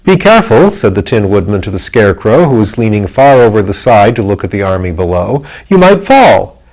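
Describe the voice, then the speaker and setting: A man's voice: lowish voice, brisk, darkish tone, rough recording. A man reading aloud as the narrator of a storybook, in scripted narration. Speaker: narrator, audiobook reader.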